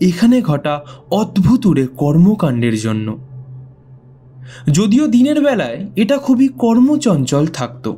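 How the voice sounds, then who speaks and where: A man narrating a scripted ghost story in a suspenseful, deliberate way with dramatic pauses. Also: high voice, dark tone. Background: background music.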